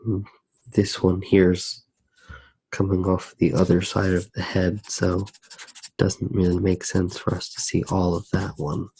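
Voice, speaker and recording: A man softly narrating his drawing for ASMR, hushed, unhurried and musing as he works. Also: low-pitched, completely flat delivery, vocal fry, husky, poor audio.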